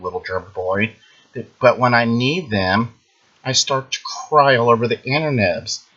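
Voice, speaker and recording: A man playing a smug, boastful internet ranter who claims to read minds, taunting the listener in a mocking sing-song and tagging lines with drawn-out "mm-hmm"s. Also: medium pitch, sharp tone, dull audio. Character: smug boastful male internet ranter, self-proclaimed mind-reader. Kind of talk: mocking satirical monologue.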